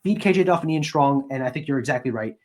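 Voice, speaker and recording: A man's voice: medium pitch, expressive, rapid-fire, darkish tone. An American man co-hosting a podcast, speaking in a casual sports-analysis style.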